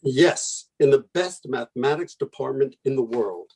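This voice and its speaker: Actor as husky, sardonic young mathematician. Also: male voice, mid-range voice, animated, normal speed, darkish tone. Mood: proud, fond. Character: irreverent, sardonic young male mathematician, affectionate friend. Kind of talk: radio play acting.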